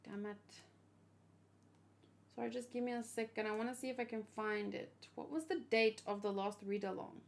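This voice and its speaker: A woman's voice: a little high, moderate pace, vocal fry. A woman hosting a read-along, giving casual, emotive commentary in a conversational way that often trails off mid-thought. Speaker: host of a manga read-along. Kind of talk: casual reaction commentary, reading aloud.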